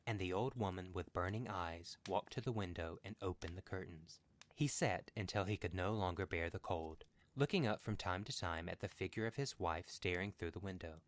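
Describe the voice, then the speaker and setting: A man's voice: low voice, dry recording. A man reading a horror story as scripted narration for a podcast.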